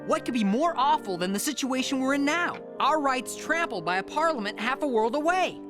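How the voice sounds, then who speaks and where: A woman voicing an idealistic, outspoken teenage boy reporter in an animated series. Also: high-pitched, a little fast, over music. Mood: indignant. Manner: keen, outspoken.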